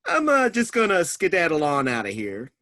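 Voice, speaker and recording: A man playing a brooding, vengeful tough guy with gruff, slangy threats in a comic stage reading. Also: on the high side, huge pitch swings, moderate pace, pushed and bright, heavily acted. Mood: sheepish. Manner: gruff slangy threats, folksy wiseguy talk.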